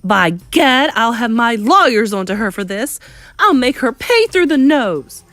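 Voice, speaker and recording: A woman's voice: on the high side, big pitch swings, a little slow, fairly bright tone, dry recording, faint music behind, voice pushed up. A woman voicing a pompous, outraged middle-aged English father in a storybook, spluttering with indignation. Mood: indignant shouting.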